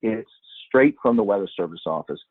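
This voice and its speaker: A middle-aged man with a husky voice giving an informal, conversational webinar talk as presenter, explaining things and reminiscing. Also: fairly low pitch, expressive, fairly quick, no room sound. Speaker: webinar presenter, former broadcast meteorologist. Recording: muffled narrow phone-like line.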